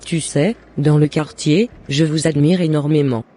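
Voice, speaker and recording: Man playing a mellow-voiced scheming neighbour woman. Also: on the high side, fairly even intonation, measured pace, slight background noise, close to an everyday voice. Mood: falsely friendly. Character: jealous, scheming woman neighbour and mother, falsely friendly. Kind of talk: animated moral drama dialogue. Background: faint music.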